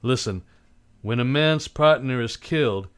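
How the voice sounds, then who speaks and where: A man's voice: medium pitch, unhurried, clean tone, close to an everyday voice. A man playing a cynical, unsentimental private detective in a live old-time radio drama, with terse, blunt, slangy tough-guy delivery. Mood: bitter, resolute.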